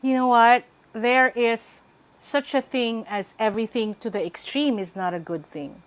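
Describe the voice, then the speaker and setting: A woman with a Filipino accent, a wellbeing coach giving advice in a radio interview, unhurried and reflective, switching between English and Tagalog. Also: fairly high pitch. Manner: unhurried, mixes English with Tagalog, thoughtful pauses.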